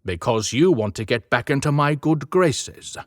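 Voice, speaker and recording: A man's voice: mid-range voice, lively intonation, moderate pace, no room sound, clean studio sound, lightly acted. A man voicing Santa Claus as a firm, frank, businesslike older man in a dramatized audiobook. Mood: confronting.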